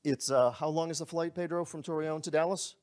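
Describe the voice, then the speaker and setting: A man giving a live sales presentation to a group in a room, pitching in an upbeat, conversational way. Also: steady tone, brisk, darkish tone, clear, resonant tone. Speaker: company presenter.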